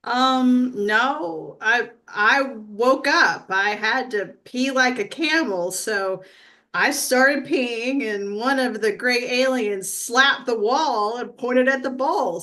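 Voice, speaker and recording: A woman playing a brash, earthy abductee in a comedy sketch read, telling it in a blunt, folksy, deadpan way. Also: fairly high pitch.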